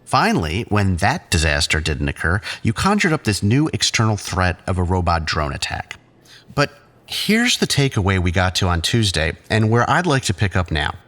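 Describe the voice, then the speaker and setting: A male actor playing a condescending, controlling psychiatrist who talks in patronizing, measured therapy-speak in an audio drama. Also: low voice, big pitch swings, fairly bright tone. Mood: clinical, controlling.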